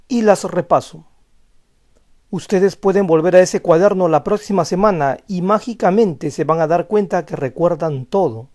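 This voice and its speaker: High-voiced man teaching calmly. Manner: calm, explanatory, reads example phrases slowly. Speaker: language teacher. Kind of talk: scripted voice-over lesson.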